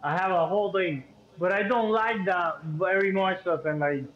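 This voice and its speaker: High-voiced Cuban-accented man, echoey room. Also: normal speed. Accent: Cuban Spanish. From Cuba. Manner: animated, repeats words, heavy on "like" and "you know". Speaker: podcast guest. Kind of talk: casual chat. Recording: dull echoey room audio with faint hiss.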